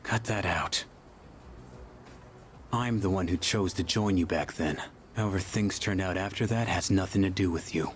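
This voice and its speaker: A brooding teenage loner played by a man in a video game, with a very low voice. He speaks in curt, gruff, blunt phrases over noticeable background noise. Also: normal speed, pauses often, lightly acted. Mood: gruff, dismissive. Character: brooding delinquent high school boy, gruff loner.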